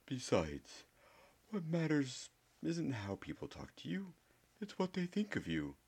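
A man voicing an eccentric, playful old male wizard headmaster in a soft, airy, cheerful tone. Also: fairly high pitch, wildly swooping pitch, measured pace, slightly rough, pitched above the performer's own voice, heavily acted.

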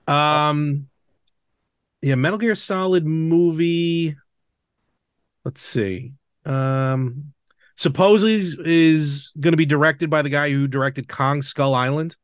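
Slightly higher-voiced man hosting a casual podcast. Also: slow, smooth, dry recording. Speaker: podcast host. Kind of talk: casual chat about games and film.

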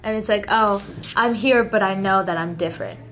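A woman's voice: mid-range voice, normal speed, a bit bright, poor audio. A young woman in her late teens, a social media creator, chatting casually as an interview guest and filling her talk with "like". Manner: chatty, lots of "like" fillers, upbeat.

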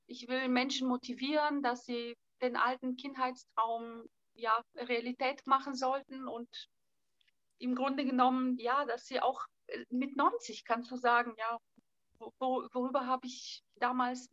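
Young woman, bright and tense tone, hesitant casual talk. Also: sits high, little pitch movement, measured pace, no echo, highs cut off. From Bulgaria. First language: Bulgarian. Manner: hesitant, thinking aloud with fillers. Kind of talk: casual conversation.

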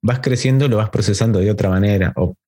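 A man's voice: fairly low pitch, fast, mellow, slightly rough. A man in his thirties with a Rioplatense Spanish accent, chatting casually and slangily as a podcast host. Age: 30s. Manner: informal, slangy. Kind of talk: casual conversation.

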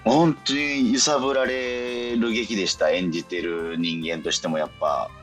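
A man's voice: fairly low pitch, flat delivery, normal speed, a bit bright. A man co-hosting a radio show in informal, chatty conversation, with music behind him. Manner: chatty, informal, frequent short backchannel replies. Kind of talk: casual radio chat. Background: background music.

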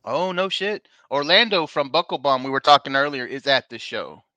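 A man's voice: medium pitch, animated, moderate pace, bright, forceful tone, dry recording, lo-fi sound. A man co-hosting a casual live wrestling podcast from a bar, upbeat and plugging the show between topics. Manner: upbeat, plugging social media, teasing. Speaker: podcast co-host.

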